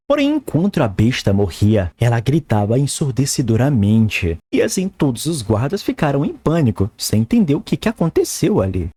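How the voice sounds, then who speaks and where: Male Brazilian recap narrator, colloquial and jokey, very animated pitch, husky tone, dry close sound. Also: no room sound. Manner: colloquial, slangy, jokey asides. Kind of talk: informal comic-recap storytelling.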